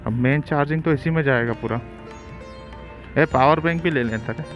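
Young male motovlogger, slightly high voice, casual on-the-road chat, music under it. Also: normal speed, dull audio. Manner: chatty, addresses viewers as guys. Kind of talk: casual vlog chat while riding. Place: on a motorbike on open roads, outdoors. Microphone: helmet-mounted phone.

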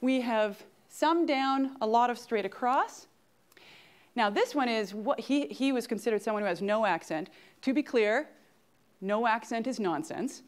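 A woman's voice: a little high, measured pace. A woman in her forties with a Canadian accent, giving an interactive lecture on an auditorium stage and often checking in with the audience. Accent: Canadian English. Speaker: guest speaker at grand rounds.

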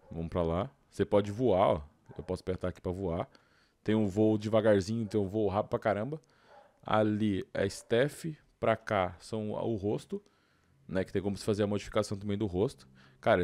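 A young Brazilian man hosts a gaming video in a low, mellow voice. He talks informally and with plenty of slang, thinking aloud as he plays. The sound is dry, with no room around it. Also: no echo. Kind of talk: casual gameplay commentary.

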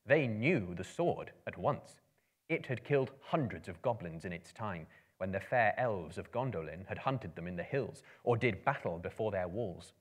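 A man in his thirties reads a fantasy story aloud as a live performance. His voice sits fairly low, and he phrases theatrically, with measured pauses and weighted emphasis. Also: animated. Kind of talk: dramatic book reading to a live audience. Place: room lined with books, indoors.

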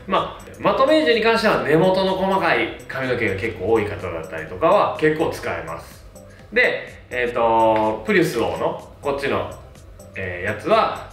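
Animated man reviewing hair products. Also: a little high, distant mic. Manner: relaxed, many fillers, gestures with products. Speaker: hairstylist. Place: small plain indoor studio. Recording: roomy sound, mic set back, faint music.

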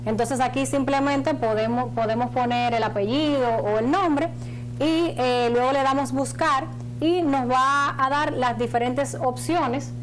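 High-voiced woman explaining. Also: a little slow, slight background noise, rough recording. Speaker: financial agency spokeswoman, studio guest. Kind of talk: explanatory interview talk. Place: small indoor TV studio.

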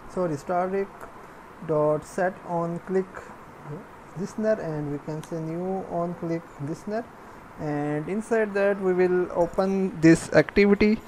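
A woman with a fairly low voice presents a programming screencast at a very slow pace. She speaks in short, halting pieces, walking through each step. Faint noise sits behind her. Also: darkish tone, rough recording. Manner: halting, piecemeal phrasing while typing. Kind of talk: step-by-step screencast narration of coding.

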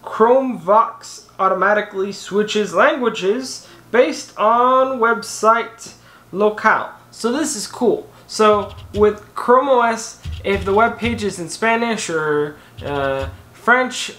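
A man's voice: high-pitched, unhurried, pushed and bright. Rambling young man in an echoey room. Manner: rambling, self-correcting, many fillers. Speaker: tech vlogger. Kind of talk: casual tech recap. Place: indoor room in front of a banner.

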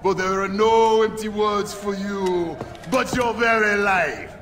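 An actor playing a fervent, gun-loving former warlord turned preacher in a game, theatrical, swinging from hushed to thundering. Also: male voice, high-pitched, slow pace, faint music behind. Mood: fervent, exalted. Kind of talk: scripture-quoting sermon in a game cutscene.

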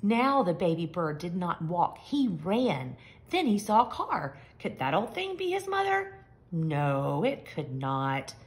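A woman reading a picture book aloud to young children, with expressive, simple and emphatic phrasing. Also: fairly low pitch, slow. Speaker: children's story reader. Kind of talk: expressive storybook read-aloud.

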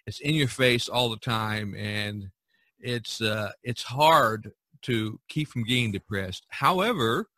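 An older man with a bright, forceful voice talks casually as a podcast host. He moves haltingly, repeating words while he gathers his thought. The sound is very dry, with little room around him. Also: lowish voice, dry recording. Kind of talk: casual conversational discussion.

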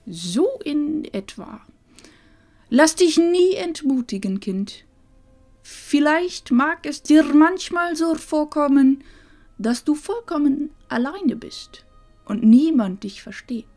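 A woman playing a loving, wise old grandmother in a fantasy audio drama, speaking solemnly and tenderly with breathy phrase endings. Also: high voice, animated, slow, a little mellow, soft background music, full character voice. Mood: encouraging.

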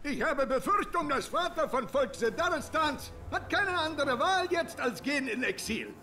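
An actor playing a pompous, deluded ousted dictator in a video game, speaking broken phrases with a foreign accent. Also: male voice, very high voice, expressive, normal speed, bright, forceful tone, heavily acted. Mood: grandiose, self-pitying. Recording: music behind the voice.

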